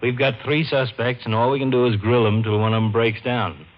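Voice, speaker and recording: Weary radio-drama police lieutenant played by an actor. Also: male voice, mid-range voice, fairly even intonation, a little fast, close to an everyday voice. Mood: businesslike. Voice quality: worn-out sounding. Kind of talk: old-time radio crime drama acting. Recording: muffled, slightly noisy old radio recording.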